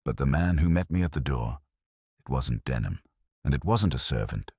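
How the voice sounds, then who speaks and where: Guilt-burdened Victorian man, deep-voiced male narrator. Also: animated, moderate pace, husky, dry recording, studio quality, full character voice. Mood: guilty, confessing. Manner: quiet, uneasy, confessional. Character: thin, guilt-burdened Victorian man in his early forties. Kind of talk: dramatised story reading.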